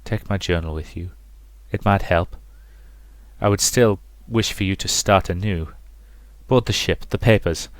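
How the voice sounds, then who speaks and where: Man voicing a hushed, low-voiced dying father. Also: measured pace, no echo. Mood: tender, weary. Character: dying gentle father, tender, weary, giving last advice.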